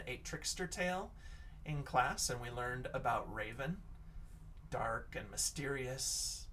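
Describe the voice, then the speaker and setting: Slow-paced man reading aloud to children. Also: medium pitch, pushed and bright, distant mic. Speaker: teacher reading aloud to class. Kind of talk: read-aloud of a picture book and lesson chat for children.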